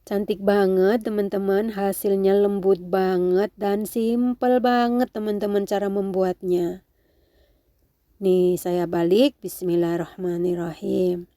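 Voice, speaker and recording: Woman, nasal from a cold, unhurried, mellow tone, casual cooking voice-over. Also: mid-range voice, flat delivery, no room sound. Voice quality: nasal, congested. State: has a cold. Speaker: home cooking video host.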